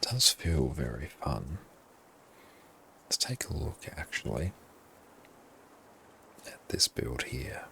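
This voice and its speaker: A man livestreaming his gameplay in a hushed, half-whispered voice, often thanking his viewers. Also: extremely low pitch, lively intonation, slow pace, gravelly, long pauses, noisy background.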